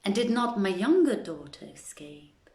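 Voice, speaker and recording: Storybook master and father, read by a woman: distraught, dramatic, unhurried, roomy sound. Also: medium pitch, big pitch swings, a little mellow. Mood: anxious questioning.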